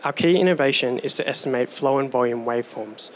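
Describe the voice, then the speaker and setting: A man giving a rehearsed startup pitch on stage with a steady, polished delivery. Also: fast talker, slight background noise. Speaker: startup presenter.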